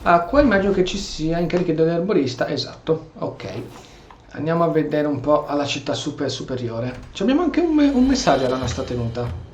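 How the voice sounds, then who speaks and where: Animated Italian man doing game commentary, echoey. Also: fairly high pitch, quick pace, lo-fi sound. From Italy. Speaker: gameplay streamer. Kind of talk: casual role-play game commentary in Italian with stray English. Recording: echoey room, faint background noise.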